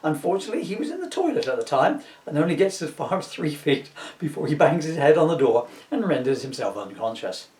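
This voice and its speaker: A man's voice: on the high side, big pitch swings, fairly quick, a little mellow. Chatty middle-aged man in an echoey room. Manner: jokey, rambling asides, amused by his own jokes. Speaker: author reading from his own children's book. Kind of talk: informal, chatty video book reading. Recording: roomy echo, distant microphone.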